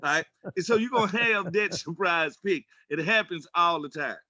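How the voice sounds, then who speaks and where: A man's voice: high voice, normal speed, pushed and bright, no echo. A man in his forties co-hosting a sports podcast with casual, colloquial, joking banter and strong opinions. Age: 40s.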